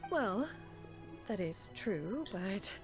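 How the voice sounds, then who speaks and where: Ancient immortal woman, haughty n formal, animated pitch, anime game w music behind. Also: drawn-out words. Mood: reluctant. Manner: formal, archaic, haughty diction. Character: ancient, dignified immortal woman, aloof and proud.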